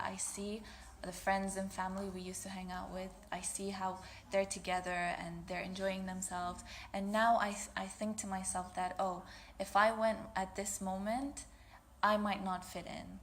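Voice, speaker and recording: A young university student from Syria answering interview questions in a hesitant way. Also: female voice, medium pitch, flat delivery, normal speed, slight background noise. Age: young adult. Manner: hesitant, many "um" and "uh". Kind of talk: casual interview talk.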